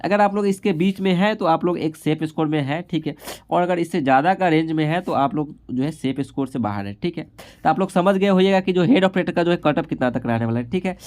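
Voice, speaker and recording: A man's voice: fairly high pitch, fast talker, darkish tone. A man explaining figures informally as an instructor, repeatedly adding a short confirming tag to his phrases. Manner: repetitive confirming tag after nearly every phrase. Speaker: exam-prep instructor. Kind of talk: informal explainer of exam cut-offs and statistics.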